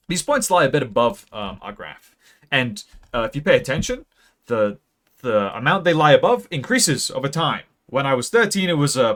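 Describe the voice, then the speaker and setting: A young man in his late teens gives a deadpan mock lecture about a graph. He rambles with many ums and speaks close to a mic that sometimes peaks. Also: mid-range voice. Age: young adult. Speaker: video creator. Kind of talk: mock-serious graph explainer.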